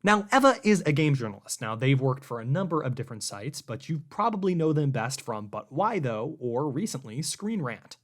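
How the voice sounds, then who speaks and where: A man's voice: medium pitch, very animated, normal speed, few pauses. A young adult man hosting a casual podcast, upbeat and chatty, a little self-deprecating, recorded in an echoey room on a home mic. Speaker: podcast host.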